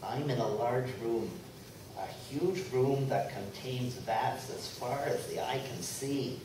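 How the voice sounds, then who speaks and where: A man's voice: medium pitch, slow pace, lightly acted. A man playing a naive, earnest young reporter narrating a mock documentary radio play. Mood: mock-serious. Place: convention room, live stage performance. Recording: very echoey, noisy live room, distant mic.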